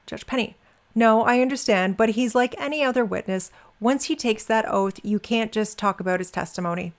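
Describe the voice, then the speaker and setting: A woman's voice: fairly high pitch, brisk. Woman reading aloud with casual asides. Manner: informal, wry asides. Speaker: reader and commentator. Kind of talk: reading court transcript aloud with casual commentary.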